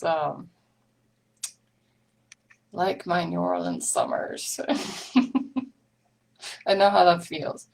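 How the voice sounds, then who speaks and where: A woman's voice: fairly low pitch, creaky. A woman from Sweden with a Swedish accent, hosting a live online meetup in a chatty way, with many ums and small laughs. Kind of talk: casual live-stream chat.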